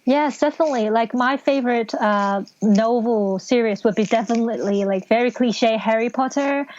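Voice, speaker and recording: A woman's voice: fairly high pitch, normal speed, lo-fi sound. Hesitant woman. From Hong Kong. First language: Cantonese. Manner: soft-spoken, hesitant with many ums and likes. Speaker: guest, author and English teacher. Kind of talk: casual interview answers.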